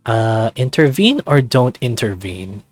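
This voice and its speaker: A man's voice: mid-range voice, very animated, a little slow, no echo. A man casually reading a visual novel aloud and commenting on it as he plays. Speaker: let's player of a visual novel. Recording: music playing behind close dry voice.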